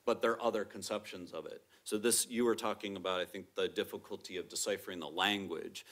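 A middle-aged man with a very low, strong voice that carries, explaining ideas at a table microphone on a lecture theatre stage. He talks in a measured, careful way, hedging with qualifiers as he lays out each point. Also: fairly even intonation, fairly bright tone. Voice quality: strong, projecting voice. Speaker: panel speaker, professor. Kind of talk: academic panel discussion.

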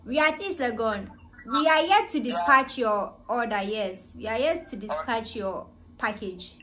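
High-voiced young Ghanaian woman on a call. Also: lively intonation, measured pace, a bit bright, slight background noise, poor audio. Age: 20s. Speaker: prank caller, vlogger. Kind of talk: phone prank call posing as a delivery service. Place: small living room, indoors. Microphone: phone at arm's length.

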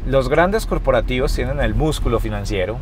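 A man's voice: medium pitch, expressive, brisk. A man in his thirties hosting a casual, conversational interview. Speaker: interviewer, host. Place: inside a moving car, while driving. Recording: faint vehicle and street noise.

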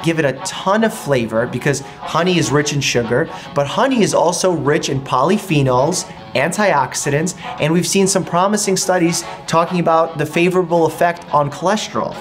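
A young man in his twenties, a doctor hosting a casual food vlog from his home kitchen, explaining health benefits in an upbeat, easy way. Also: on the high side. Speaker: doctor and channel host.

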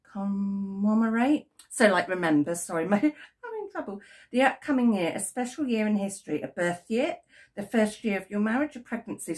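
A British woman with a croaky, cold-roughened voice chats casually into a desk webcam from her yarn-filled craft room. She rambles a little, filling gaps with ums and correcting herself as she goes. Middle-aged and homely in tone. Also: medium pitch, darkish tone. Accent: British English. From United Kingdom. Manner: chatty and rambling, lots of ums and self-corrections. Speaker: crochet vlogger. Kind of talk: casual chat to camera. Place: home craft room with yarn shelves, indoors. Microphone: webcam at desk, framed from the chest up.

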